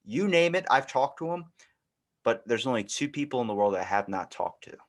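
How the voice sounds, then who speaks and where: A man's voice: medium pitch, very animated, fairly quick. Casual, slangy young man chatting. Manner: slangy, swearing, acts out quoted dialogue. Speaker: podcast host. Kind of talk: casual, rambling podcast chat.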